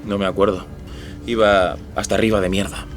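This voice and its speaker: An actor playing a callous young skinhead suspect, curt, evasive and contemptuous, in a dramatized true-crime podcast. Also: male voice, lowish voice, flat delivery, brisk, lightly acted. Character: defiant, callous young male skinhead suspect under interrogation. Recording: music bed behind the voice.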